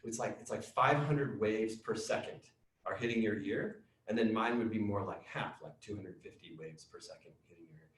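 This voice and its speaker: A man, a music teacher, explaining science to children in a chatty, excitable way with many fillers. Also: on the low side, normal speed. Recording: echoey room, distant mic.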